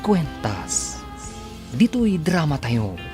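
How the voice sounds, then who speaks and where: A man's voice: a little high, sing-song, drawn-out words. A man with a Filipino accent announcing and narrating a scripted radio drama serial. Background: music bed.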